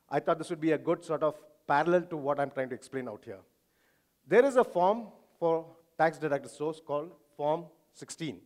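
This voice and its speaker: A man with an Indian accent speaks to a conference audience, explaining things in an informal way. His voice sits a little high for a man. He sounds like a physician presenting his field.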